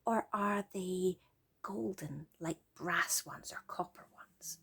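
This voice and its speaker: A woman storyteller narrating for children in a gentle, expressive way, often dropping to a hushed, breathy near-whisper. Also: lowish voice, takes it slowly.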